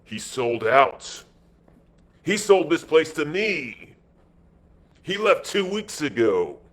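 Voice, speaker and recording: A man's voice: fairly high pitch, very animated, slow. A man voicing a big, sly American gangster in an adventure story, slangy and sardonic. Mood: insolent.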